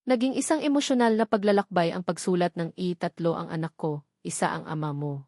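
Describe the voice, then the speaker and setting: A woman reading a scripted first-person love story as narrator, with sentimental, measured phrasing. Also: on the low side, a little mellow, no echo, studio quality.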